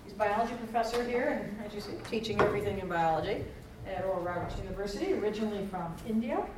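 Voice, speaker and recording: A woman host giving a brisk, informal introduction of a guest speaker. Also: medium pitch. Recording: very echoey room, far from mic, ambient noise.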